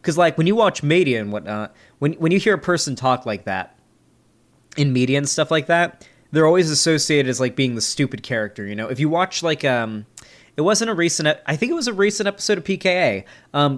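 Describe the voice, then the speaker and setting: Young male streamer, slight Southern accent, rambling casual commentary, a bit stuffy-nosed. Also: mid-range voice. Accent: slight Southern American. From Florida. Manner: rambling, filler-heavy, frank. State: slightly nasal from a sneeze. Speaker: streamer reviewing debates.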